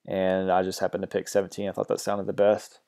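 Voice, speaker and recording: Relaxed, hesitant man with a mellow voice. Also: sits low, a little fast, smooth. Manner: relaxed, hesitant, many ums and uhs. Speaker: music production tutor. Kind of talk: screen-recorded software tutorial.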